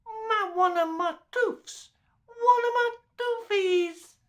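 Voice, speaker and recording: A gurgling monster baby. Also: male voice, very high-pitched, takes it slowly, pitched above the performer's own voice. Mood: gleeful. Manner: gurgling, squealing. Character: spoiled monstrous male baby with one rotten tooth.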